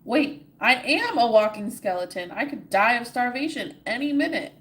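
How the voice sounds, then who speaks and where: A woman reading aloud and voicing a weary, desperate male wanderer who complains loudly. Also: fairly high pitch, lively intonation, normal speed, pitched above the performer's own voice. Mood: exasperated. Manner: loud, exasperated complaining.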